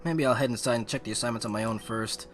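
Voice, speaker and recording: A man live-streaming a game, giving excitable, casual, slangy commentary and reading on-screen text aloud. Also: medium pitch, fast.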